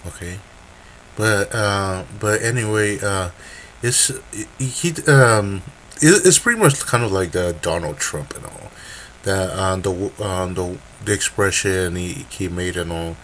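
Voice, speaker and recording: A young man making a casual webcam announcement from a dim room, talking haltingly with many fillers and restarts. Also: low voice, expressive, a bit bright, a little hiss or hum. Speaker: video creator.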